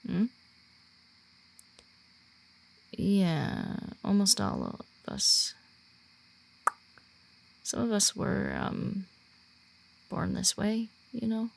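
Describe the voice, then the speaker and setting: Creaky-voiced, hesitant werewolf woman, voiced by an actress. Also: mid-range voice, very animated, full character voice. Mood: flustered, awkward. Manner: hesitant, halting, awkward when personal. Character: shy, earnest born werewolf woman guiding a newcomer. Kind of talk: roleplay audio drama, softly spoken to the listener.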